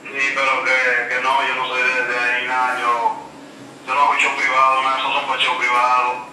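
A man's voice: mid-range voice, monotone, moderate pace, bright, forceful tone, far from the mic. A young man on a phone call, giving short, hesitant, guarded answers. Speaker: prank call victim, singer. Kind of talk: phone conversation. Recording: phone speaker in an echoey room, noisy.

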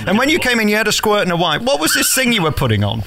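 Male radio interviewer, cheeky and teasing, bright animated delivery. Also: sits high, racing, vocal fry. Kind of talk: bantering celebrity interview.

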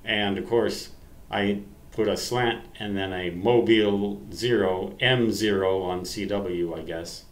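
An American man in his sixties with a fairly low voice talks slowly and haltingly, reminiscing in a folksy way. He pokes fun at himself as he rambles. The sound is echoey, like a webcam in a room at home. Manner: folksy, self-deprecating, halting. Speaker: amateur radio vlogger.

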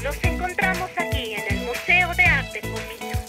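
An actress voicing a young television host presenting a baking contest in a cartoon dub. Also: female voice, high-pitched, expressive, moderate pace. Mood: upbeat. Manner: upbeat presenter delivery. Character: upbeat young woman TV show host. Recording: cartoon dub with loud music.

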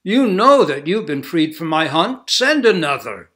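Proud king in a storybook, loud and lofty, voiced by a male narrator. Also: a little high, sing-song, measured pace, pitched above the performer's own voice. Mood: commanding. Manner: loud, raised delivery. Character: proud commanding human king, blustering.

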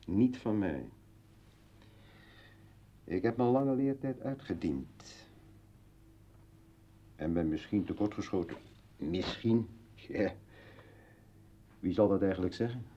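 An actor playing a brooding, philosophical old man with bitter irony in a declamatory, halting radio play monologue. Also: deep voice, animated, mellow, slight background noise. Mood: musing, ironic. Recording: dull vintage radio drama recording.

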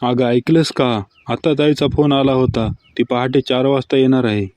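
A man's voice: mid-range voice, steady tone, moderate pace, a little mellow, no room sound, natural voice. A man playing a cheeky husband in a cartoon comedy skit. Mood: matter-of-fact.

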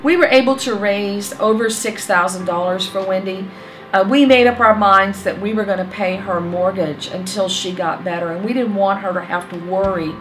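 A woman's voice: mid-range voice, moderate pace, poor audio. A woman who is a team leader speaking in a conversational way, with a few hesitant fillers. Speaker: real estate team leader. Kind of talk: testimonial interview. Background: loud background music.

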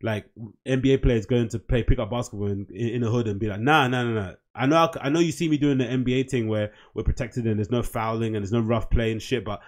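A man's voice: medium pitch, steady tone, quick pace. A man, hosting a casual solo podcast and rambling from a small home room into a desk mic. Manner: rambling, chatty, reads captions aloud in mock voices. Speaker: podcast host. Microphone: desk mic on boom arm.

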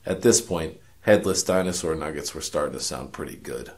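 An actor playing a cynical, world-weary detective, narrating a noir parody radio drama in a wry, self-important deadpan. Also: male voice, a bass voice, normal speed. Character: cynical world-weary hard-boiled male detective narrating his case.

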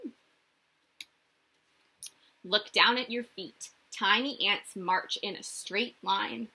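A young woman reading a picture book aloud to small children like a teacher, expressive and sing-song, often putting questions to them. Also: medium pitch, takes it slowly, gravelly, breathy edge, rough recording. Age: young adult. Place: small indoor room.

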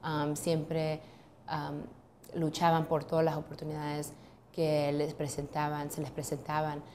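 A woman's voice: sits low, completely flat delivery, measured pace, a little mellow, slight background noise. A woman in her thirties, a lawyer, answering interview questions in an office, hesitant with many ums and restarts. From United States. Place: office, seated at a desk.

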